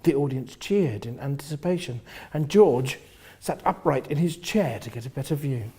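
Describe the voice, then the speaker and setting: A man with a husky voice reading first-person literary fiction in an expressive, theatrical manner, often hushing the ends of phrases. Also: mid-range voice, normal speed. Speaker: reader of a novel.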